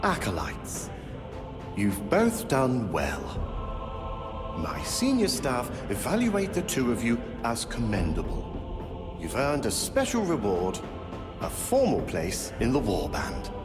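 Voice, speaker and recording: An actor playing a grave, menacing inquisitor in a video game, solemn, deliberate and theatrical. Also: male voice, mid-range voice, wildly swooping pitch, over music. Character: grave, menacing male inquisitor passing judgment. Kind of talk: scripted video game cutscene.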